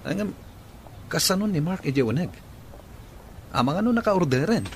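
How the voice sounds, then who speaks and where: Considerate young Filipino boyfriend, radio serial; lively, slightly high voice, faint background noise. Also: male voice, normal speed. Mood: friendly, concerned. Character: considerate, friendly young man, attentive boyfriend. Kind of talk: Ilocano radio drama acting.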